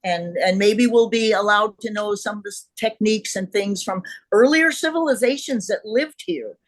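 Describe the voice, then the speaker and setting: A woman's voice: medium pitch, normal speed. A woman talking in a group discussion, rambling with many fillers in a hopeful tone. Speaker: discussion participant.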